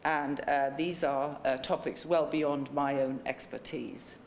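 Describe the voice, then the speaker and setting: A woman in her sixties with a notably low voice welcomes an audience at a book launch. She speaks in a measured, polite way, with frequent ums. The sound is roomy, with a faint rumble underneath. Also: steady tone, creaky. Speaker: panel chair and book co-author. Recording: echoey lecture room.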